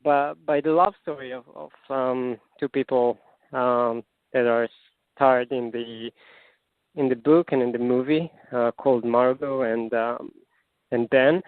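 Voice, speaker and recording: A man's voice: medium pitch, fairly even intonation, dry recording. An Israeli man speaking English as a second language, circling his thoughts with lots of "um" and repeated words. He comes through a narrow, phone-like line. The sound is dry, with no room around it. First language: Hebrew. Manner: halting, many fillers and restarts. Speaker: singer-songwriter guest. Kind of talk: casual interview answers.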